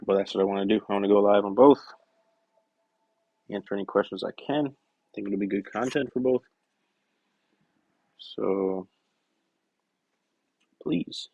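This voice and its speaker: A young man chatting casually on a work-shop live stream, rambling and correcting himself, his voice hoarse and scratchy from a cough. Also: fairly low pitch, steady tone, unhurried, long pauses, dry recording, highs cut off. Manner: rambling, self-correcting, soft-spoken asides. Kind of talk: casual live-stream chat while working.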